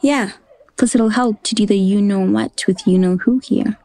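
A woman plays a precocious girl of ten in a romantic comedy audio drama. She is brash, blunt and cheeky, with a deadpan delivery at a medium pitch. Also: normal speed, natural voice. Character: brash, precocious, foul-mouthed ten-year-old girl patient.

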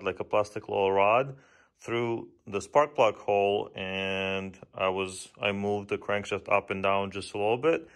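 A man's voice: lowish voice, fairly bright tone. A man casually explaining his engine rebuild step by step as he works, chatty and lightly joking. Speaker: DIY car repair vlogger. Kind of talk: casual how-to explanation while working.

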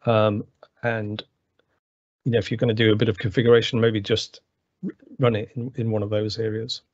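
A man with a gravelly voice presenting a technical webinar walkthrough, rambling with many fillers and restarts. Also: lowish voice, little pitch movement, fairly quick, dry recording. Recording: dry, slightly muffled webinar audio.

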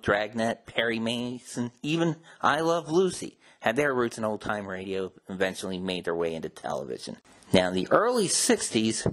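Male host, lowish voice, casual explainer delivery. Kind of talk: casual informative talk on old radio show history.

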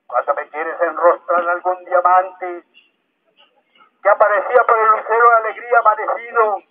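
A man's voice: high-pitched, little pitch movement, normal speed, phone-quality sound. A man reciting poetry as a reader at a public event in an outdoor park. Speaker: poet reading in public. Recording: thin, band-limited megaphone sound outdoors.

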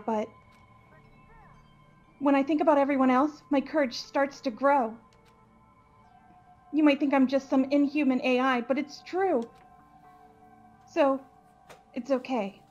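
A woman voicing a gentle, timid but determined boyish AI program in a game fan dub, earnest and hesitant. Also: high voice. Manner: hesitant, earnest, soft-spoken pleading. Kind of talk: visual novel fan dub voice acting. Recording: slightly dull, band-limited, music behind.

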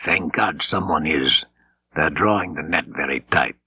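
A man's voice: extremely low pitch, measured pace, sharp tone, breathy edge, no echo, pitched below the performer's own voice, exaggerated voice. A man voicing an urgent, earnest, weary eighteen-year-old resistance fighter in an audiobook. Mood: bleak. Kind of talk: audiobook character dialogue. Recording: dull, slightly lo-fi tape recording.

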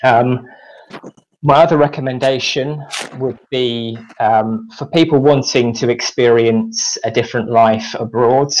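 A man in his thirties with an English accent, speaking from home on a video call, giving a measured personal account with frequent ums as a webinar guest. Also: medium pitch, slight background noise. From England. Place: home room, indoors. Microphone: video call, earphones. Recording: slightly dull video call audio.

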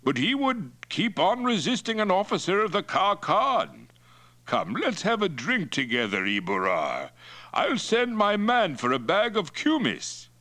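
A man's voice: sits high, huge pitch swings, unhurried, sharp tone, pitched above the performer's own voice, full character voice. A man voicing a hearty, boastful Mongol chieftain in an audiobook, blustering and jovial with an edge of menace. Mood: falsely friendly. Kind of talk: acted dialogue in audiobook narration.

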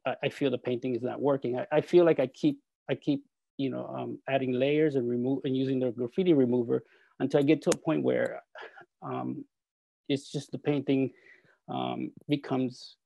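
A man in his forties from El Salvador, an artist talking over a video call from his studio, halting with many fillers and restarts. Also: medium pitch, fairly even intonation, vocal fry, dark tone, no echo, muffled recording. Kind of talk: video call talk with slides. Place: home art studio. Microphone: earphone mic on video call.

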